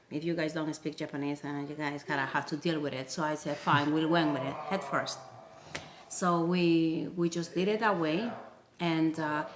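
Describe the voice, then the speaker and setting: Low-voiced Peruvian-born woman, hesitant. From Peru. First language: Spanish. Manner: hesitant, many restarts and fillers. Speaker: interview guest. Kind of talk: casual interview chat. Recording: noisy background.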